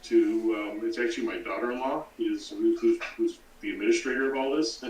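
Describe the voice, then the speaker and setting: A man's voice: lowish voice, brisk, darkish tone. Mature man in a very echoey room. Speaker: guest explaining a donor program. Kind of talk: casual explanatory chat on a live stream. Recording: roomy, distant mic.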